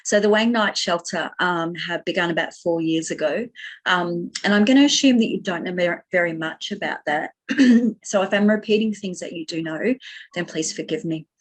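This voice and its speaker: Australian woman chaplain, slightly low and scratchy, passionate and earnest. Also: moderate pace. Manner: passionate, earnest storytelling with many fillers. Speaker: minister and chaplain. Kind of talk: online workshop talk.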